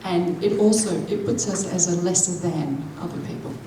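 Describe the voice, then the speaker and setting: A woman, interpreting a deaf speaker's keynote into spoken English in the first person, with a measured manner that draws in the audience. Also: lowish voice, dark tone, a little hiss or hum. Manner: measured, engaging the audience with questions. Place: large conference hall. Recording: echoey hall, voice picked up from a distance.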